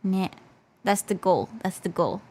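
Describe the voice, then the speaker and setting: Woman streamer with a stuffy nose, slightly hoarse. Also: medium pitch, lively intonation, measured pace, a little mellow. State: slightly losing her voice. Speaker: VTuber livestreamer. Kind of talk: casual livestream chat in English mixed with Japanese.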